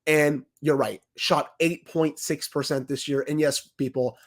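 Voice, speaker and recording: A man on a sports podcast talks through a cold, his fairly high voice a little raspy. He gives strong opinions packed with statistics, then hedges them carefully. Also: steady tone, measured pace. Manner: opinionated, careful hedging, stats-heavy. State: has a cold, slightly raspy. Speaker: podcast co-host, hockey analyst. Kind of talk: casual sports podcast talk.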